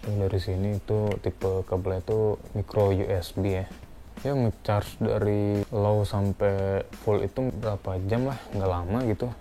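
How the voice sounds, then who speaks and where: A man's voice: on the low side, level tone, normal speed, warm tone, a little hiss or hum. A young man giving an informal, unscripted product review in a conversational vlog style.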